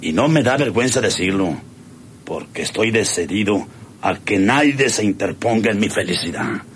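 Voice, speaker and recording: An actor with a Mexican accent playing a proud, lovesick, threatening landowner in a rural radio drama. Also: male voice, fairly low pitch, expressive, normal speed, fairly bright tone, slight background noise. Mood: lovestruck, boastful.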